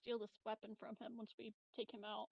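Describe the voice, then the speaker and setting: A woman with a fairly high voice talks casually as a tabletop game player. She is wry and exclamatory and swears freely. Her audio is dry and a little dull. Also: level tone, brisk, creaky, dry recording.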